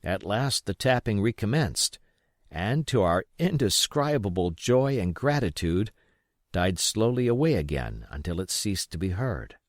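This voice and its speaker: A man reading an adventure novel aloud as expressive scripted narration. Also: fairly low pitch, unhurried, no room sound, clean studio sound. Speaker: audiobook reader.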